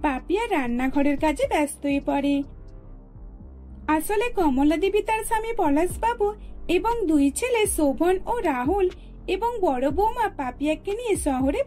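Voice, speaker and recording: High-voiced woman narrating a cartoon story. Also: warm tone.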